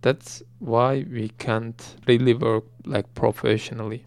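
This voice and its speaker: A man giving an English summary as interpreter, in a measured, deliberate delivery. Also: mid-range voice, fairly even intonation, a little mellow. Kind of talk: translated summary.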